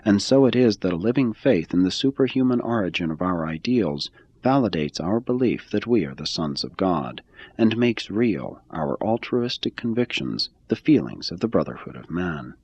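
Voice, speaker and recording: A man reading a religious text aloud in a measured, even-paced scripted style. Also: low-pitched, husky, no room sound, highs cut off. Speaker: reader.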